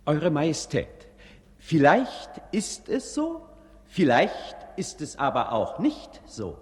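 A man's voice: on the high side, wildly swooping pitch, full character voice. An actor playing a ceremonious, noncommittal court councillor, drawing out his words in a 1950s German radio play. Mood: pompous, hedging. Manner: ceremonious, drawn-out, hedging. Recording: echoey hall, 1950s radio recording.

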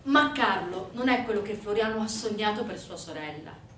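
A woman's voice: mid-range voice, animated, normal speed, a bit bright. A woman stage actress delivering dramatic scripted narration with emphatic, swinging storytelling. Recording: roomy, distant stage recording with faint noise.

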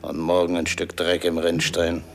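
A deep, hard-boiled cynic over music. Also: male voice, completely flat delivery, normal speed. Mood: cynical. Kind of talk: German radio drama, noir monologue. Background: loud guitar music.

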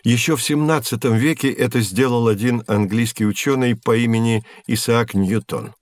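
An actor playing a patient, kindly physics professor who explains and gently corrects in a scripted educational audio drama. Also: male voice, medium pitch, fairly even intonation, normal speed, dry recording, lightly acted. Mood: instructive.

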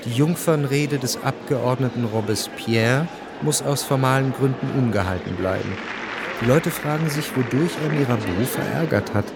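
An actor as the sober, literary narrator of a historical radio drama, telling it in a measured way. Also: male voice, medium pitch, crowd noise, close to an everyday voice.

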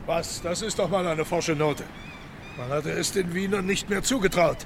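An actor playing a brash, commanding German emperor in a historical radio drama. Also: male voice, high voice, moderate pace. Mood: brash, dismissive. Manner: imperious, dismissive, barking orders. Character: blustering, impetuous German Kaiser, brash and commanding. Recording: noisy outdoor scene, engine-like ambience.

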